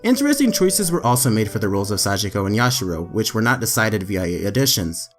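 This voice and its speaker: Male narrator, low voice, scripted trivia voice-over, faint music bed underneath. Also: big pitch swings, fast. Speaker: anime trivia video narrator.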